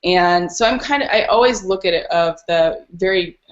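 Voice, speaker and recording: Low voiced lady chatting on a podcast as a guest, animated storyteller, kinda muffled lo-fi sound. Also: normal speed. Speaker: dog rescuer, podcast guest. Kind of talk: casual interview storytelling.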